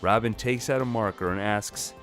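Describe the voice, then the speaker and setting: Guy with a low voice doing dramatic comic recap narration, music underneath. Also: moderate pace, a bit bright. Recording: music bed behind the voice.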